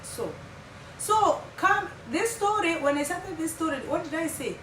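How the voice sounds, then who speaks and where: A woman's voice: very high-pitched, lively intonation, measured pace. A woman in her thirties from Kenya with a Kenyan accent, whose first language is Kimeru, telling her story to viewers in a chatty vlog and dropping Swahili phrases. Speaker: vlogger. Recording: echoey room from far off, loud background hum.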